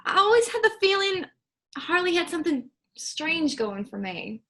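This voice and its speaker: A woman reading a gentle grown farm daughter. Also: extremely high pitch, sing-song, moderate pace, full character voice. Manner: soft, easygoing, gently teasing. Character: Candace, gentle grown daughter, patient and agreeable. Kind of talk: staged play reading.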